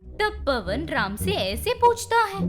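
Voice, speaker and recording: Very high-pitched woman storyteller. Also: very animated, slow. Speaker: story narrator. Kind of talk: scripted children's story narration. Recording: music behind the voice.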